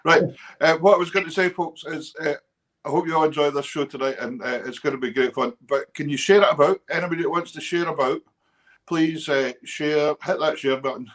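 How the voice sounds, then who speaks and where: Male host, slightly high voice, easygoing and chatty. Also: brisk. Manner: relaxed and chatty, addresses viewers as folks. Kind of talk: casual chat.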